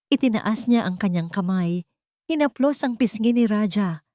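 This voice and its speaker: A woman reading scripted romance narration as a storyteller, in a measured, sentimental way, phrase by phrase. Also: on the low side, warm tone, no echo, clean studio sound.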